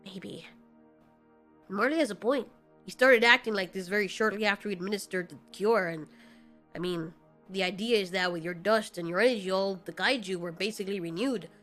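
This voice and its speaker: A woman's voice: mid-range voice, fairly quick, fairly bright tone, faint music behind, natural voice. A woman voicing a cold male fire demon kaiju in an audio story, blunt, sardonic and slangy. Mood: matter-of-fact.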